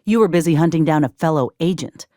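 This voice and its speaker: An actress playing a gruff, loyal sci-fi soldier and medic in a video game, speaking in a folksy, plainspoken, measured way. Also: female voice, low voice, warm tone, no echo, studio quality, lightly acted. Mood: earnest, measured. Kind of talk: video game companion dialogue.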